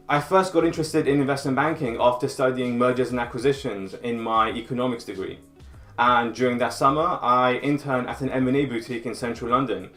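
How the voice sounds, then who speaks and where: A man's voice: medium pitch, fairly bright tone. A man giving direct, advisory career coaching in a scripted instructional video. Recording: roomy sound with faint music.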